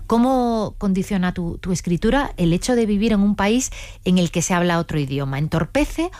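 A woman hosting a radio interview, asking measured, literary questions and adding frequent short acknowledgements. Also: mid-range voice, animated, a little mellow, dry recording, clean studio sound. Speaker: radio host interviewing an author.

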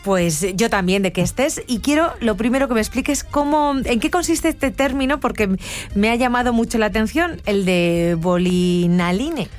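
A woman's voice: a little high, expressive, moderate pace, soft background music. A woman with an accent from Spain hosting a radio interview in an effusive, encouraging, conversational way.

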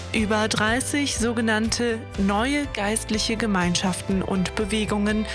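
A woman reading scripted documentary narration as the presenter. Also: medium pitch.

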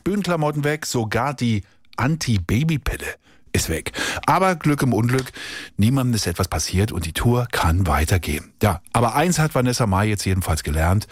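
A man presenting on the radio in a chatty, wry and playful way. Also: mid-range voice. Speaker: radio host. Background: music bed.

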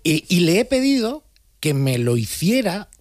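A man's voice: high voice, big pitch swings, moderate pace, darkish tone, faint music behind. A man talking on a radio panel as the regular contributor, explaining things in a chatty, teasing way.

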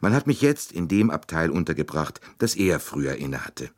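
A man voicing a small, fastidious and courteous detective in an audiobook reading. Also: a bass voice, very animated, exaggerated voice. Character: small, fastidious, world-famous male detective, polite.

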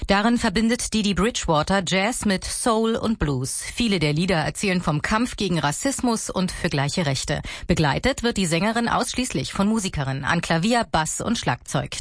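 A female reporter reads a scripted news piece in a slightly low voice. The sound is very dry and close to the microphone. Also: dry recording.

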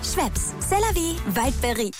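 A woman's voice: high-pitched, big pitch swings, measured pace, over loud music. Breezy, lilting woman in a drinks advert. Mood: breezy, relishing. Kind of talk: radio commercial acting.

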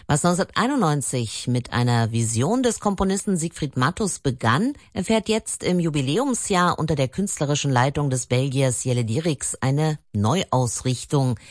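Low-voiced woman reading the news. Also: no echo. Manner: measured, formal delivery. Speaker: news presenter. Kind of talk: scripted news read. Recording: dry studio sound.

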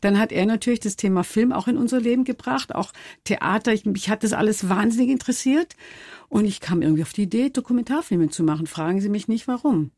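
A woman's voice: mid-range voice, expressive, quick pace, darkish tone, no echo, clean studio sound. An older woman, a documentary filmmaker and former dance teacher, speaking as an interview guest in an earnest, thoughtful way with frequent restarts. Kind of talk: conversational interview.

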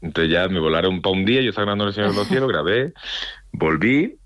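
A man with a very low voice and a mixed Andalusian, Castilian and Mexican accent, chatting in an interview. He tells his stories with animated energy and acts out what others said. The sound is close and dry. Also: fast, no room sound, dull audio. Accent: mixed Andalusian, Madrid Castilian and Mexican Spanish. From Andalusia, Spain. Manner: animated storytelling, acts out quoted dialogue. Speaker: actor, interview guest. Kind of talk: casual interview chat.

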